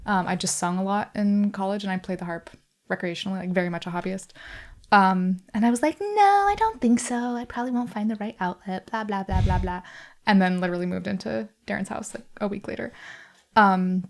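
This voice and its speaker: A woman's voice: medium pitch, a little fast. A young American woman, a band member, chatting in an interview, talkative, laughing often and using lots of fillers. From United States. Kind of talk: casual interview chat.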